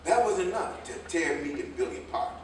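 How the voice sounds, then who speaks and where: A man's voice: high voice, fairly quick, natural voice. A man in a staged play reading playing an older American tailor turned driver, a proud, hard-drinking, folksy storyteller who rambles. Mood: rueful. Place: indoor theater stage, recorded from afar. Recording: echoey stage, distant mic, faint noise.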